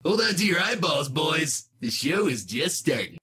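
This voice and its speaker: A man's voice: on the high side, big pitch swings, normal speed, very noisy. A man voicing a manic masked cartoon trickster, a theatrical, wisecracking showman and prankster. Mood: showy. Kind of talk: exaggerated comic-book cartoon dub.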